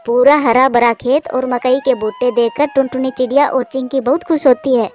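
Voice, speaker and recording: A woman's voice: high-pitched, steady tone, moderate pace, a little mellow, faint music behind. A woman reading scripted narration for a children's story.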